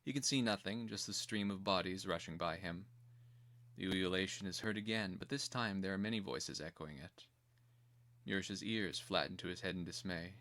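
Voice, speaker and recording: A man narrating a screenplay as scripted drama, in a grave, deliberate and theatrical manner. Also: deep voice, a bit bright.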